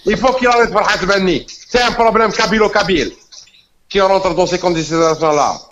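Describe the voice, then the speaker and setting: A man's voice: high voice, normal speed. A man from Constantine with an Algerian accent, arguing insistently as a guest in a heated political debate. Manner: forceful, insistent, repeats himself. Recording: muffled lo-fi audio with faint noise.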